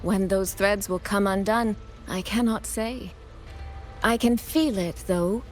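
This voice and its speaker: A woman playing a composed, secretive young elder sister in a video game, speaking in a measured, playfully teasing way. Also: mid-range voice, fairly even intonation, close to an everyday voice. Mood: serious, measured. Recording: music behind the voice.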